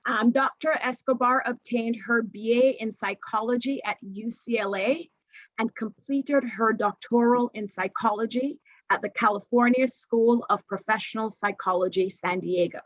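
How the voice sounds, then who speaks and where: A woman moderating a panel on a video call, reading out a speaker introduction in a formal, measured way. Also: on the high side, no room sound, dull audio.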